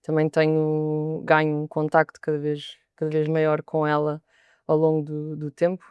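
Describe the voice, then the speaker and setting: A young woman with a European Portuguese accent talks as a sommelier in a podcast interview. Her voice is smooth and clear, her pitch stays fairly level, and she often doubles a word before moving on. The sound is dry and close. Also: completely flat delivery, normal speed, no room sound, muffled recording. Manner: thoughtful, repeats words as she gathers her thoughts.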